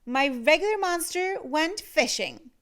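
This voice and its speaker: A woman's voice: high-pitched, expressive, measured pace, a bit bright, pitched above the performer's own voice. A woman playing a plucky, skeptical child who talks back at bedtime. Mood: indignant.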